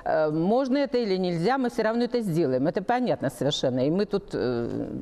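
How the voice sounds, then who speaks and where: A woman in her sixties giving opinions as a talk show panel guest, emphatic and halting, repeating phrases for stress. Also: fairly low pitch, lively intonation, mellow. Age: 60s. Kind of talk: opinionated panel discussion, unscripted. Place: TV studio with seated panel.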